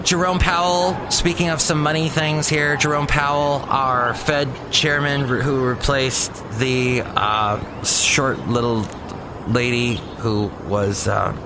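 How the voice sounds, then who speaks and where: Rambling male podcaster out on the street. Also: medium pitch. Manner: rambling, opinionated, stumbles over words. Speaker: podcast host. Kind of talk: casual news commentary. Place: outdoors near a cafe. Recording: outdoor street noise. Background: traffic and city ambience.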